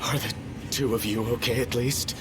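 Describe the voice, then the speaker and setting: An actor playing a hot-headed, anguished young prince in a video game. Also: male voice, medium pitch, steady tone, normal speed, background noise, lightly acted. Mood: guarded. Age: young adult. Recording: game music behind the voice.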